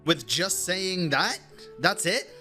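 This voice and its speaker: A woman's voice: lowish voice, animated, slow, sharp tone. A woman streamer giving casual, understated game commentary and reading dialogue aloud, sometimes wryly. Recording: game music playing behind the voice.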